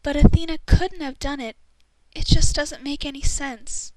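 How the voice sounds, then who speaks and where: A high, girlish voice for a spirited teenage daughter, acted by a woman. She is earnest, supportive and encouraging, in the manner of a dubbed visual novel game. The recording is close and dry. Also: steady tone, moderate pace, dark tone, no room sound, natural voice, rough recording. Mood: disbelieving. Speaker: voice actor in a fan dub.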